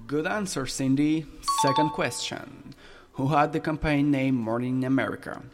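A teenage boy hosting a school radio quiz, reading questions in brisk bursts. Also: mid-range voice. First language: French. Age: teen. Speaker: quiz host. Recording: reverberant room with music behind.

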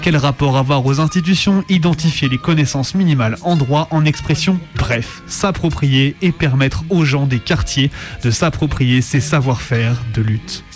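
A man's voice: a little high, normal speed. A man reading scripted narration as a radio host. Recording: music behind the voice. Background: music bed.

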